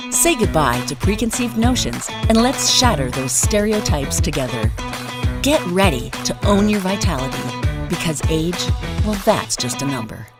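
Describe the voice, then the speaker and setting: Lively woman announcer over loud music. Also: on the low side, moderate pace. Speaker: intro announcer. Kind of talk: scripted promotional voice-over. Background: loud music with guitar.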